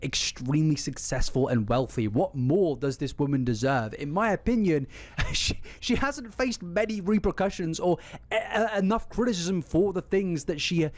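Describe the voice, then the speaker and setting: A man's voice: on the high side, animated, moderate pace, no echo. A man streaming a live reaction to video clips, criticizing them emphatically. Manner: critical, emphatic, repeats "wild".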